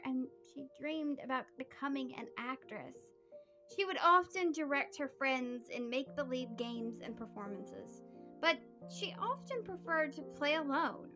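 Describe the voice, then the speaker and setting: A woman tells a history story from a prepared script, earnest and steady. Her voice sits high and sounds bright and sharp. Quiet music plays faintly behind her. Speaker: history podcast host.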